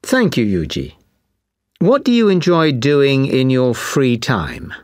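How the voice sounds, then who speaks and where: A woman playing an examiner in a speaking test, asking each question slowly and clearly. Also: rumbling low, huge pitch swings, darkish tone, no echo, clean studio sound. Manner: slow, clearly spaced questions. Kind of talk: scripted exam interview.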